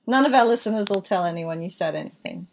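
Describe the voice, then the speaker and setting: A woman of middling pitch hosts an interview podcast. She asks her questions lightly and teases her guest in a friendly way. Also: lively intonation, quick pace, gravelly. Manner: playful, teasing. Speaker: podcast host.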